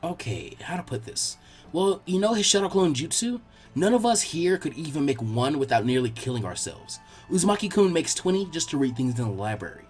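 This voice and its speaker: A man's voice: a little high, sing-song, a little fast, a little mellow, husky, faint music behind. A man voicing an earnest, bookish young girl tutor who explains things kindly and teasingly in an audiobook-style story. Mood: explaining.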